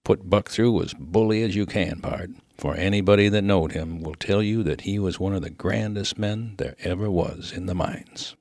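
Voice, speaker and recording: A man playing a deep-voiced, folksy Old West miner. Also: normal speed, creaky, hardly any pauses, darkish tone, no echo, clean studio sound. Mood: boastful, eager. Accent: rustic American. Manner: slangy, folksy, emphatic. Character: rough, good-natured Old West miner full of slang. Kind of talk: dramatic reading of a humorous story.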